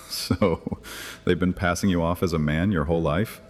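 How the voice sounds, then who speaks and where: Male vampire high priest, acted, extremely low voice in a long reverberant hall, taunting and theatrical, for dark fantasy audio drama. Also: moderate pace, soft background music, lightly acted. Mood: mocking amusement.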